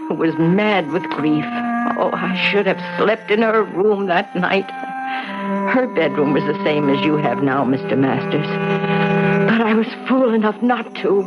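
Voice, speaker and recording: An actor playing a sorrowful, devoted family guardian, telling a tragedy in a halting, dramatic old-time radio manner. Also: male voice, high voice, expressive. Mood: mournful. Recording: muffled old radio, loud music underneath.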